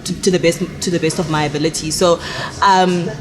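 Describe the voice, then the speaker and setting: A young woman from Congo talks as a guest on an online talk show. Her voice sits a little low, and her manner is candid and chatty, with easy laughter running through her answers. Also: fairly even intonation, brisk, poor audio. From Democratic Republic of Congo. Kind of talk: casual interview chat.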